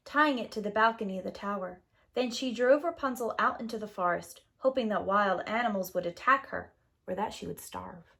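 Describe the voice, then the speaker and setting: Expressive woman reading a children's storybook. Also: on the high side. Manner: expressive, dramatic phrasing. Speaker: storyteller, preschool teacher. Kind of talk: scripted storybook narration for young children.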